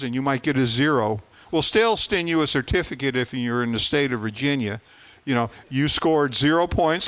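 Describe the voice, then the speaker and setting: Clear, higher-pitched man giving a club talk. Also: fairly bright tone. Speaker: club presenter. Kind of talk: informal slide presentation to a club meeting.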